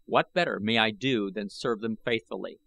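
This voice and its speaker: A man voicing a loyal, coolly logical Martian creature with a formal, measured manner in an audiobook. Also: on the low side, gravelly, pushed and bright. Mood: solemn loyalty.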